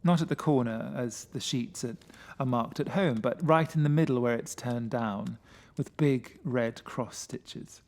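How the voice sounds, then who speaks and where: A man with a mid-pitched voice reading a first-person gothic story as dramatic narration. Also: measured pace, few pauses, darkish tone. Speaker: story reader.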